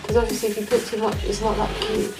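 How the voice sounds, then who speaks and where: A woman's voice: mid-range voice, monotone, fast talker, a little mellow. A woman vlogger talking casually through her makeup routine, sounding nasal from a cold. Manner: chatty, talks while applying makeup. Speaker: beauty vlogger. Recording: echoey room with music behind.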